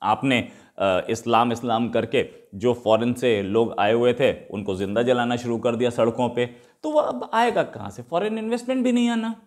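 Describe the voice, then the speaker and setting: A man's voice: mid-range voice, normal speed, few pauses, a little mellow. A man with an Indian accent giving unscripted, opinionated news commentary as a host, in an argumentative way full of rhetorical questions. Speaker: host commenting on current affairs.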